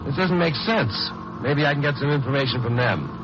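An actor playing a hard-boiled lawyer in a 1950s radio crime drama, with a terse, dry delivery. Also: male voice, medium pitch, lively intonation, brisk, loud music behind the voice, lightly acted. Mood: earnest, resolute. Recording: noisy, muffled vintage radio recording.

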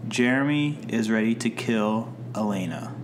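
A man co-hosting a casual podcast, reading plot notes aloud and making dry, deadpan jokes. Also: on the low side, a little slow, slight background noise. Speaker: podcast co-host. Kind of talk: casual chat recapping a TV episode.

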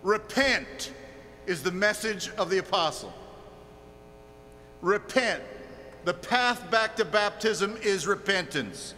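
A man's voice: high voice, lively intonation, slow pace, long pauses, bright, forceful tone. A man preaching a fiery, confrontational sermon with emphatic, repetitive rhetorical phrasing. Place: large reverberant hall. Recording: echoey hall, faint mains hum.

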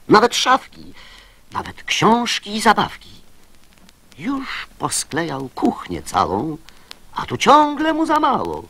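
Husky, very animated man reciting. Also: medium pitch. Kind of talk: dramatic recitation of children's verse.

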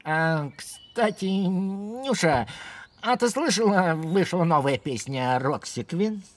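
A man voicing a shy, bashful young male lamb with a husky, stammering delivery in a children's cartoon. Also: high voice, expressive, slow pace. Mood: eager, nervous. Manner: hesitant, stammering with many filler sounds. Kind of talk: animated children's cartoon dialogue.

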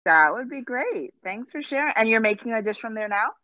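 A woman's voice: on the high side, brisk, no room sound. A woman hosting an online cooking session in casual, exclamatory chat. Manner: lively, exclamatory. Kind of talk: casual video call chat. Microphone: video call. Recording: slightly dull video call audio.

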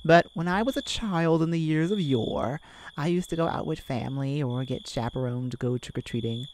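A woman's voice: deep voice, moderate pace, a little mellow, slight background noise, close to an everyday voice, poor audio. A woman voicing a giddy, affectionate twenty-one-year-old boyfriend in a cutesy, playful ASMR romantic roleplay. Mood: fond reminiscing. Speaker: audio roleplay performer.

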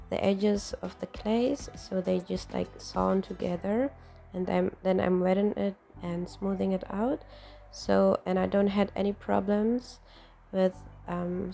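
A woman with a deep, husky voice, hoarse from a cold, explaining each step of a craft tutorial, with small slips. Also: mellow. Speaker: craft channel host.

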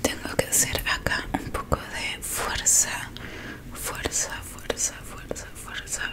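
A woman, doing a soft ASMR roleplay as a technician, murmuring deliberately to one listener close to the microphone. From Argentina. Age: 20s. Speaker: ASMR creator playing a robot repair technician. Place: small dim room indoors with curtains. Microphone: close microphone in front of her.

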